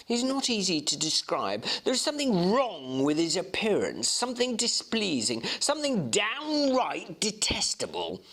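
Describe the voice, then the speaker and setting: A man voicing an earnest young gentleman in a Victorian storybook, emphatic and halting as he searches for words. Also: high voice, wildly swooping pitch, pitched above the performer's own voice, heavily acted. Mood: bewildered, emphatic. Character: earnest young English gentleman, bewildered, slightly sullen, recounting strange sights. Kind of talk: dramatic reading of a Victorian novel.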